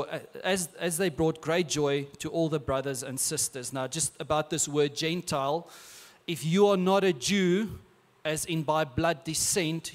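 A man in his thirties with an Afrikaans accent, preaching a sermon on a church stage into a handheld mic in a relaxed, conversational way with restarts. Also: on the high side. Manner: conversational, with frequent filler and repeated words. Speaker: pastor. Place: large church stage, indoors.

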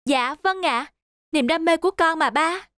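A woman's voice: sits high, a little slow, studio quality. A woman voicing an earnest, determined teenage boy in a cartoon, polite and pleading. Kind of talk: cartoon dubbing. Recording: echoey voice under loud music.

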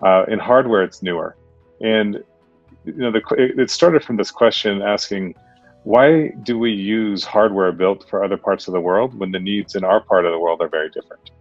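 Very deep-voiced man on a webinar call. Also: no echo, faint music behind. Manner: casual, halting with fillers. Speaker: guest speaker, tech entrepreneur. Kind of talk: webinar presentation. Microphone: video call. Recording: dull, dry video-call audio.

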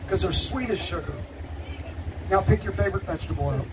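A man's voice: high-pitched, fairly quick, recorded from a distance, background noise. A middle-aged man from Missouri giving a live cookware sales demonstration in an open tent at an outdoor fair, joking with the crowd in a folksy way.

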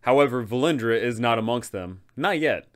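Young adult male host, medium pitch, emphatic tabletop guide commentary, game master addressing fellow players. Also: animated, moderate pace.

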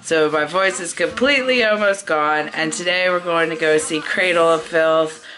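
A woman's voice: low-pitched, normal speed, fairly bright tone. A woman in her thirties chatting casually to camera as a family vlogger, teasing and narrating as she goes, into a phone held at arm's length. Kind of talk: casual vlog chat to camera.

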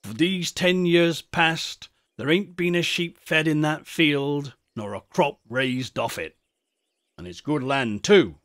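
A man's voice: a little high, slow, fairly bright tone. A man voicing a superstitious old shepherd in a storybook, with a rustic English country dialect and a grave, folksy, emphatic way of warning.

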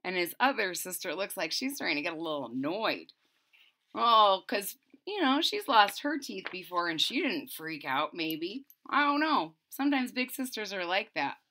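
A woman's voice: mid-range voice, very animated, moderate pace, bright, forceful tone. A woman of about thirty to forty reads a children's story aloud expressively, with chatty asides to young listeners, at home. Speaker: storybook reader. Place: small furnished room at home, indoors.

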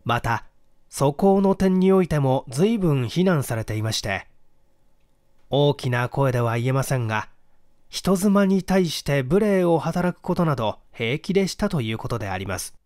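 A man reading a classic mystery story aloud as a dramatic narrator, in measured, formal storytelling. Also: medium pitch, lively intonation, darkish tone, no room sound, clean studio sound. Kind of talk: dramatic scripted audiobook narration in first person.

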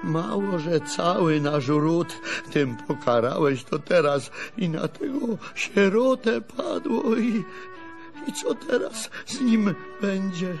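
An actor playing a weary, grumbling old grandfather in a radio play, fatalistic but fond, with a slow folksy lament. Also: high voice, lively intonation, exaggerated voice. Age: older. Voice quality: creaky. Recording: music under the voice.

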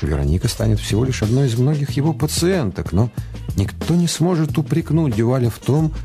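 A man narrating a scripted radio drama in a measured, literary storytelling manner. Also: medium pitch, sing-song, dark tone, soft background music.

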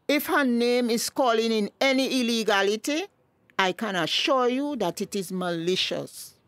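A woman's voice: fairly high pitch, normal speed, a bit bright, no echo, natural voice. An actress playing a respectable, protective middle-aged mother in a radio drama, earnest and proper, with a Tobagonian accent. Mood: protective, worried.

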